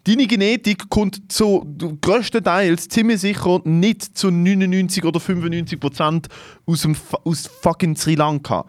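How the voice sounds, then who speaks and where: A man's voice: high-pitched, moderate pace, few pauses, no echo, clean studio sound. A man with a Swiss German accent in casual, opinionated debate, swearing and dropping in English slang.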